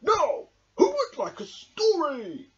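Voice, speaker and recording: Cheerful storybook badger, reassuring, pitched way up, exclamatory, muffled recording. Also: male voice, huge pitch swings, slow pace, fairly bright tone, exaggerated voice. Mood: jolly. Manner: exclamatory, sing-song. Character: cheerful, kindly male badger, reassuring friend.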